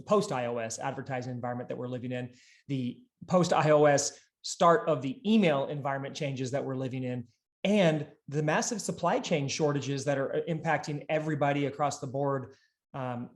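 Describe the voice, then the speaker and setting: A man in his thirties hosting a business podcast, explaining things conversationally and emphatically from a home office. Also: medium pitch. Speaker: podcast host. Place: small home office, indoors. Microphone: video call setup. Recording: roomy, echoey home office.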